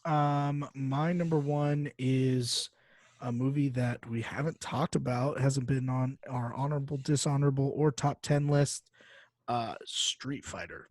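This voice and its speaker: A man's voice: mid-range voice, steady tone, a little slow, darkish tone, no echo. A man co-hosting a podcast in casual, slangy chat, with frequent fillers as he talks through lists and figures. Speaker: podcast co-host.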